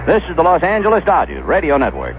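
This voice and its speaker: An American man calling baseball play-by-play on the radio, conversational and descriptive, filling in stories between pitches. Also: wildly swooping pitch, quick pace. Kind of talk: play-by-play commentary. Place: ballpark broadcast booth. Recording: dull, noisy vintage 1970s radio broadcast.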